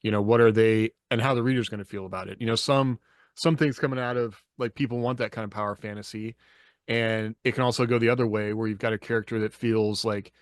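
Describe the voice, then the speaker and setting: A man's voice: on the low side, fairly even intonation, quick pace, no echo, clean studio sound. A man co-hosting a podcast, talking casually with opinions in a rambling, emphatic way. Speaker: podcast co-host.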